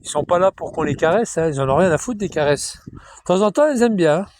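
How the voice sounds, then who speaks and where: A man's voice: animated, fast, background noise. A man talking casually outdoors in a cow pasture, rambling and repetitive, cooing to the cows now and then while filming on his phone. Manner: chatty, repetitive, coos to the cows in a high sing-song voice. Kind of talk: casual rambling talk to camera.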